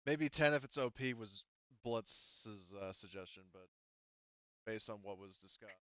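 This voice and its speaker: A man's voice: lowish voice, unhurried, a bit bright, no echo, highs cut off. A man casually talking through a tabletop game as its game master, with informal, sweary phrasing.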